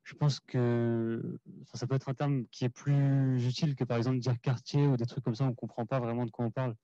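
Mellow, tentative young man. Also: mid-range voice, little pitch movement, a little fast, dry recording. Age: young adult. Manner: tentative, unsure. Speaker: audience participant. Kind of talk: casual impromptu answer. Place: small crowded café room. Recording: dull, lo-fi event recording.

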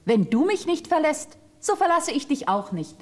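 An actress playing an affectionate, earnest little girl in a fairy-tale radio play, with a husky, childlike voice. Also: high-pitched, big pitch swings, moderate pace, darkish tone. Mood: earnest, worried.

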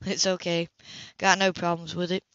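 A woman's voice: on the low side, level tone, normal speed, fairly bright tone, smooth, dry recording, muffled recording, natural voice. A woman voicing a guarded, sullen boy with curt, defensive replies, for an audiobook. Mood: wary.

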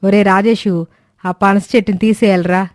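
A woman's voice: medium pitch, fairly even intonation, moderate pace, no echo, studio quality, lightly acted. A woman voicing an irritable, bossy middle-aged village mother-in-law in a cartoon story, scolding and sarcastic. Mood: irritated. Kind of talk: cartoon moral-story voice acting.

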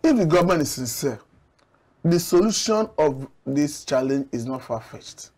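Middle-aged man, studio guest, lapel mic, fairly high voice, measured and argumentative. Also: animated, a little mellow. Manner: measured, argumentative, occasional restarts. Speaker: public affairs analyst guest. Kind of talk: studio TV discussion. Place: TV studio set.

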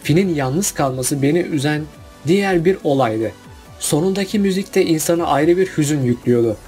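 A man's voice: on the high side, a little mellow. A man narrating a casual countdown video about cartoon finales in a chatty voice-over.

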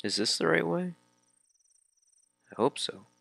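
A man's voice: a little high, animated, a little slow, gravelly, fairly bright tone, no echo. A man giving casual let's play commentary, reading dialogue aloud and muttering informal asides to himself. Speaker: video game let's player.

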